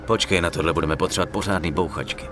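A man's voice: low-pitched, background noise, natural voice. An actor playing a tough, sceptical mobster in his thirties, sardonic and streetwise, in a dubbed crime game. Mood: wary. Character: tough, sceptical young male mobster hero. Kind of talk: dramatic dubbed video game acting.

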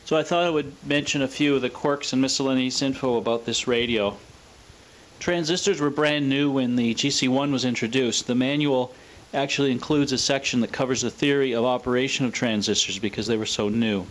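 A man presenting scripted technical narration in a measured, matter-of-fact manner. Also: medium pitch, poor audio. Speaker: presenter of a vintage radio demonstration.

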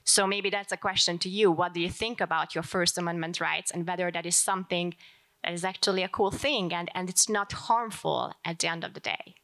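A woman's voice: lowish voice, steady tone, brisk, a bit bright. A woman from Hungary with a Hungarian accent, asking a long, earnest question from the audience at a panel event.

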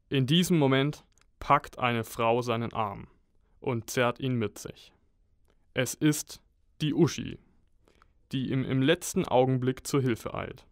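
Slow-paced man narrating with mock-heroic drama. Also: mid-range voice, dry recording, studio quality. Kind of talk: scripted dramatic storytelling narration.

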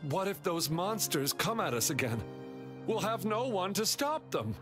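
An earnest young man in a patroller's role in a video game. He is deferential and a bit anxious, with a strongly varied pitch and faint music behind him. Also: very animated, normal speed. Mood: worried.

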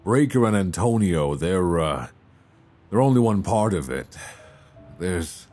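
A man playing a worried, tender mobster boyfriend in an intimate ASMR roleplay, halting and hesitant with sighs. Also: low voice, animated, a little mellow, faint music behind, exaggerated voice.

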